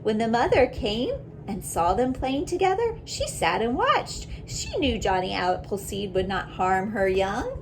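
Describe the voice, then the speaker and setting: A woman reading a children's picture book aloud like a teacher, in a deliberate way, pausing to reflect and ask questions. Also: medium pitch, wildly swooping pitch, noisy background.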